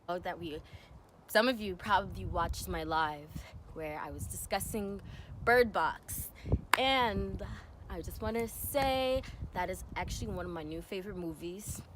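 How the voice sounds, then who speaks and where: A woman's voice: medium pitch, a little hiss or hum. A woman in her twenties from New York, chatting casually in a Q&A vlog and often filling with "you know". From New York, USA. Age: 20s. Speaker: vlogger.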